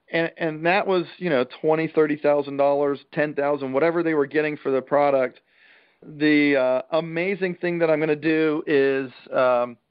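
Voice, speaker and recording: A man giving an informal real estate trainer's talk over a conference call, plain-spoken and emphatic, with a salesman's folksy pitch. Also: clear, resonant tone. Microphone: telephone conference call. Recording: dull, band-limited conference call line.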